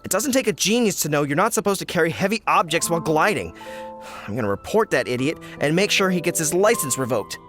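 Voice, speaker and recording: A man's voice: on the high side, expressive, fast, music in the background, lightly acted. A man voicing a flustered fruit merchant who frets and complains indignantly. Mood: fretful. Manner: fretful, complaining. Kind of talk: video game voice acting.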